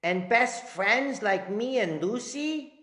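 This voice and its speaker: A man's voice: very high voice, expressive, slow, a bit bright, echoey room, voice pushed up, heavily acted. A man voicing anxious, curious young children in a storybook read-aloud.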